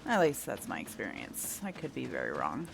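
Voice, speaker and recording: A woman's voice: a bass voice, wildly swooping pitch, normal speed, gravelly, background noise. A woman doing casual playthrough commentary, thinking aloud and asking herself questions as she goes. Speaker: gameplay host.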